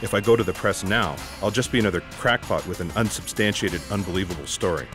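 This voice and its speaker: A man's voice: sits low, quick pace, natural voice. A man playing a disillusioned, wry ex-secret agent, dry and wordy, in a comic sci-fi audio drama with music behind. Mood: resigned, sardonic.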